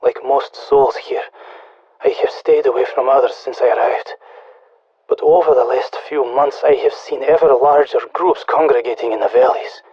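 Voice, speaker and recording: An actor playing a stoic, haunted soldier stranded alone, speaking solemnly and with measured foreboding in a husky voice over a game radio transmission. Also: male voice, mid-range voice, steady tone, no room sound, lo-fi sound, lightly acted.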